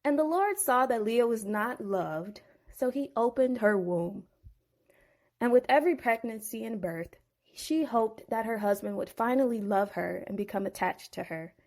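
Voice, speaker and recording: A woman's voice: fairly high pitch, lively intonation, normal speed, darkish tone. A woman narrating a Bible story in a playful, slangy storybook style.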